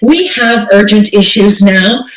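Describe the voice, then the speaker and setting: A woman's voice: mid-range voice, takes it slowly, far from the mic. A middle-aged woman from Tanzania speaking on a video-call panel, earnest and searching, with many fillers and restarts. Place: home room with bookshelves. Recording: roomy video call audio, set back from mic.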